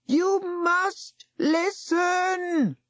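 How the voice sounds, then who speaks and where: A woman's voice: fairly low pitch, sing-song, measured pace, mellow, breathy edge, no echo, studio quality, full character voice. A woman voicing an earnest, brave young boy who speaks urgently. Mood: shouting. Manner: urgent, breathless pleading.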